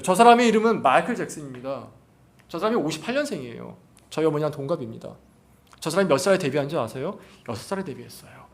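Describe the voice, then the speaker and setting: A male pastor delivers a sermon in a high-set voice. His intonation swings widely and animatedly. He puts questions to the congregation and repeats key phrases for emphasis.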